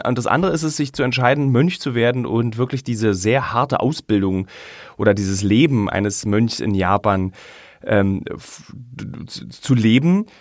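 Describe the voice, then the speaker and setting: A man's voice: mid-range voice, dry recording, studio quality. A man hosting a podcast interview, asking long, winding questions and often restarting mid-sentence. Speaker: podcast host. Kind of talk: interview conversation.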